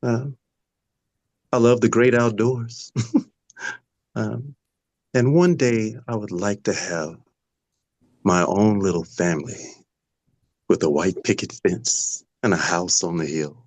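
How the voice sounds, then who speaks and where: A man playing an easygoing, sincere date in a dramatic read, hesitant and unhurried. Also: on the low side, a little mellow, no room sound. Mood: relaxed, wistful.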